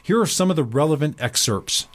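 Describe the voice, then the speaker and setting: A man's voice: on the high side, animated. Emphatic male commentary host. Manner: emphatic, slows down to stress key words. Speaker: host of his own commentary show. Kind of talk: opinionated commentary reading excerpts aloud.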